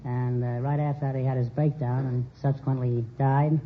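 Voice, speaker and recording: A man's voice: medium pitch, little pitch movement. Earnest older man on muffled old radio. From New York City. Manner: earnest, halting, formal. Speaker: contestant, retired Secret Service agent. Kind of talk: unscripted quiz show interview. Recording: muffled vintage radio with hiss.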